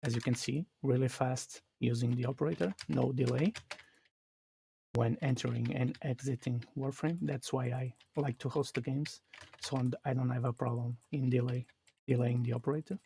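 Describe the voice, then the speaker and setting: A man from Portugal with a Portuguese accent, explaining his game live in a halting way that restarts phrases. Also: medium pitch, steady tone, gravelly, mellow, a little hiss or hum. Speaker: streamer. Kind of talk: live gameplay commentary.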